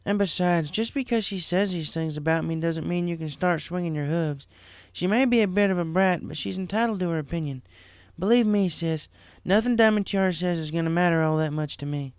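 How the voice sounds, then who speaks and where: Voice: mid-range voice, a little fast, clear, resonant tone, no echo, pitched above the performer's own voice, natural voice. A performer voicing an earnest, troubled young female farm pony in a story reading. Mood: frank, reassuring.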